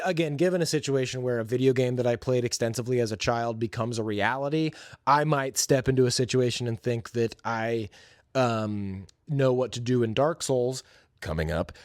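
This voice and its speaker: A man's voice: medium pitch, dry recording, studio quality. A man hosting a podcast, giving an informal, opinionated and enthusiastic movie review in casual, slangy talk. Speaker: podcast host.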